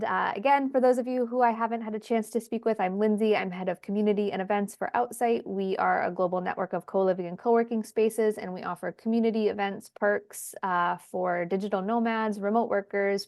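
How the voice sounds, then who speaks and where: A woman host winds up a webinar in a friendly, filler-heavy way, with plenty of ums as she talks. Her voice sits at a middling pitch and sounds dry and close, with no room around it. She comes through a video call. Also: darkish tone, no room sound. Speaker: webinar host, head of community. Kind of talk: hosting an online Q&A session.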